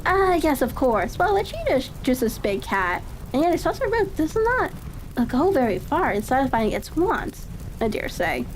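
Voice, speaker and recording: Storybook sleuth, Victorian gentleman, woman reader, observant and probing, very animated intonation over background noise. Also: high voice, moderate pace, low-quality recording. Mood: dry, probing. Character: brisk, keenly observant male Victorian detective.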